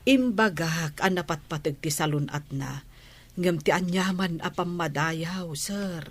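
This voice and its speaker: An actress playing a devoted, respectful but steadfast household nanny in a Filipino radio drama, with a deferential, earnest manner and a Filipino accent. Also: female voice, fairly low pitch, unhurried. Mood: earnest, explaining.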